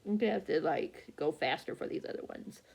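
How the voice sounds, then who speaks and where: Woman book vlogger, halting casual chat. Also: deep voice, animated, vocal fry, darkish tone. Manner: halting, scattered, often losing her thread and correcting herself. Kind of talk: casual rambling chat about books.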